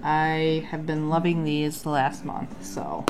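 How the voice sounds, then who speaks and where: Woman, 30s, low-pitched, stuffy nasal tone, casual chatty vlog, faint background noise. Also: fairly even intonation, takes it slowly, creaky, darkish tone. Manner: chatty, with frequent ums. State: nasal from a sinus infection. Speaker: bullet journal vlogger. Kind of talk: casual chat. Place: home desk under an overhead light.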